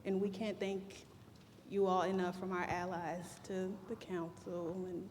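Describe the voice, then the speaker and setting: A woman's voice: on the low side, flat delivery, a little slow. A woman making unscripted remarks to an audience in an effusive, emphatic way. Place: large echoey room at a graduation event. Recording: echoey hall with background room noise.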